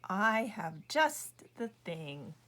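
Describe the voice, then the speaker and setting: A woman's voice: mid-range voice, very animated, extremely slow, a bit bright, heavily acted. A woman voicing a loyal, helpful little prairie dog in a children's storybook, earnest and encouraging.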